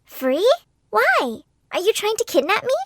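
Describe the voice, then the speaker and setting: Woman as little girl, naive, squeaky, wildly swooping pitch, dry sound. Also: big pitch swings, measured pace, fairly bright tone, no echo, heavily acted. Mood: innocent. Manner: squeaky, high, innocent. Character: small naive five-year-old girl.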